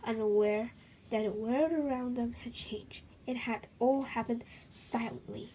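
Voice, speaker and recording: A woman reading a children's book aloud in an expressive, dramatic way, with chatty asides to her young listeners. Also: fairly high pitch, a little slow, a little hiss or hum, rough recording.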